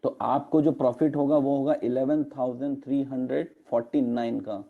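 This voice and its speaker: A man with a hoarse throat presenting in an online meeting, explaining step by step and slipping English terms into his talk, with fillers. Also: mid-range voice, steady tone, moderate pace, narrow, tinny audio. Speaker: network marketing presenter. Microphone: video call.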